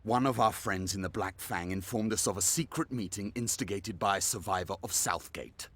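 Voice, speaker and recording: A man playing a bitter, scheming dark elf leader in a fantasy video game, husky and raspy, severe and accusing. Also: fairly low pitch, normal speed. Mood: stern, irritated.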